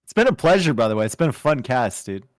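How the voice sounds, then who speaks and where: A man with a Canadian accent casting a strategy game, joking in relaxed banter. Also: mid-range voice, fast talker, no room sound, clean studio sound. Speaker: game caster. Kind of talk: casual co-casting play-by-play.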